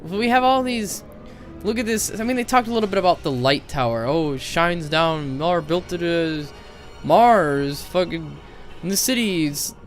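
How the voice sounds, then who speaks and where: A man's voice: fairly high pitch, measured pace, soft background music. A man giving casual, critical commentary on a game, opinionated and swearing freely. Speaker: video commentator.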